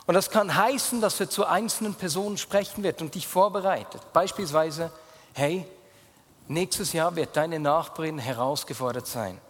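Male pastor, Swiss German accent, higher voice, earnest direct sermon delivery, long hall reverb. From Switzerland. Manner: earnest, addresses listeners directly, voice drops low in prayer.

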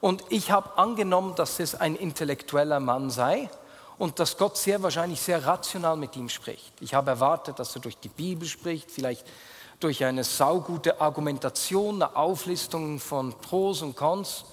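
Swiss German pastor in a reverberant hall. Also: male voice, moderate pace. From Switzerland. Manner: earnest, addresses listeners directly, voice drops low in prayer. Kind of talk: sermon.

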